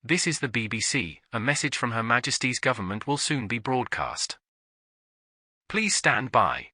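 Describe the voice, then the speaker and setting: A man's voice: medium pitch, very animated, normal speed, bright, forceful tone, dry recording. A man reading a scripted emergency broadcast announcement in a formal, solemn manner as a continuity announcer.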